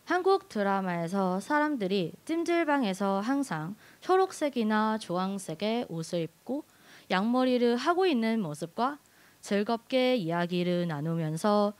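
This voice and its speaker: A woman's voice: mid-range voice, no room sound. A woman giving a prepared speech in a learned language, telling a personal story expressively. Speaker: speech contestant.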